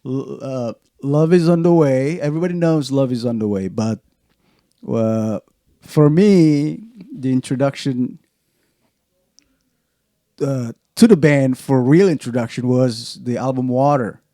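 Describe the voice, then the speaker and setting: A man with an Indonesian accent hosting a casual podcast chat, talking in halting starts with many restarts. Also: mid-range voice, dark tone, dry recording, clean studio sound. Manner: halting, many restarts and fillers, laughs often. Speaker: podcast host.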